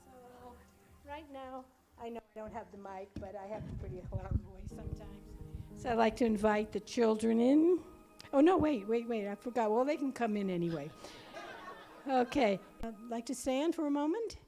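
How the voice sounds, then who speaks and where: A woman leading a church service, chatting with the congregation and welcoming newcomers in a halting, friendly way. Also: on the high side, animated, mellow, faint music behind. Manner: chatty, halting with fillers, greets newcomers by name. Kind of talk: warm welcoming announcements to a congregation. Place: church hall.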